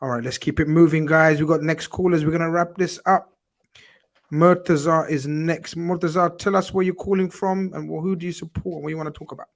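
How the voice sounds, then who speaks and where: A man's voice: fairly high pitch, fairly even intonation, a little fast. A man hosting a call-in show, chatting with callers in a friendly, encouraging way. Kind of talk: casual call-in chat.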